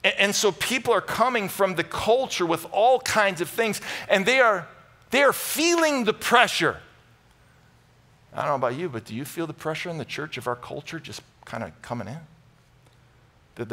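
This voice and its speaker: A middle-aged American man preaching a conversational sermon, emphatic and animated, moving between loud bursts and hushed asides. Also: fairly high pitch. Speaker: pastor preaching. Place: large church auditorium stage with audience.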